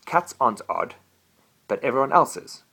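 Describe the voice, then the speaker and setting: A man's voice: mid-range voice, very animated, normal speed, bright, forceful tone, slightly rough, voice pushed up, heavily acted. A man voicing a friendly magic dragon in a bedtime story, matter-of-fact and conspiratorial. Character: male magic dragon, friendly but eager to eat bullies.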